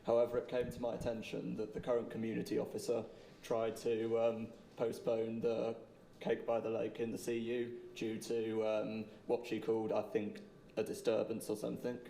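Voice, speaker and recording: A man's voice: fairly low pitch, completely flat delivery, moderate pace, gravelly, warm tone. A man from the audience asking a question, hesitant and full of fillers. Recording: echoey hall with some noise.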